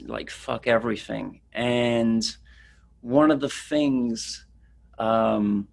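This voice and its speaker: Guy rambling about street photos, kinda gravelly voice, lots of ums and likes. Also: medium pitch. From England. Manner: chatty, rambling with fillers. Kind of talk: casual presentation.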